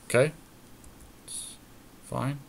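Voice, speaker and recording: A man co-hosting a podcast, casually commentating on a game and thinking out loud as he reasons through each move. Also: extremely slow. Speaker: podcast co-host.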